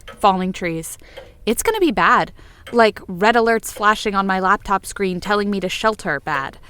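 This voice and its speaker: A woman's voice: medium pitch, expressive, moderate pace, a bit bright, clean tone, close to an everyday voice. A woman playing an exuberant, bossy, playful friend with a theatrical, wordy manner in a fiction podcast. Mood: dramatic, coaxing.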